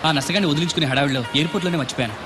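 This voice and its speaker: A man acting a weary, irritable city traveller in a film comedy, grumbling and curt. He speaks very fast in a slightly high voice, under loud music and street sound. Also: lightly acted. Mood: irritated. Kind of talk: Telugu film comedy acting, English words mixed in.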